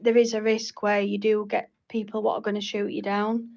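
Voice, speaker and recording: A woman's voice: mid-range voice, level tone, normal speed, vocal fry, dull audio, crowd noise. A woman leading an informal group meeting, explaining things in a hesitant, repetitive way. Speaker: meeting leader.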